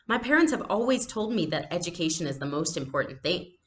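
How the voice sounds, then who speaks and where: A woman's voice: on the low side, very animated, brisk, fairly bright tone. A woman voicing an earnest, questioning young girl in a storybook. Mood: amazed, puzzled.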